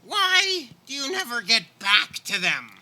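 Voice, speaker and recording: A man's voice: extremely high pitch, very animated, unhurried, pushed and bright, exaggerated voice. A man playing an inquisitive call-center coworker in a comic radio play. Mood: curious. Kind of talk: live comic radio theater acting.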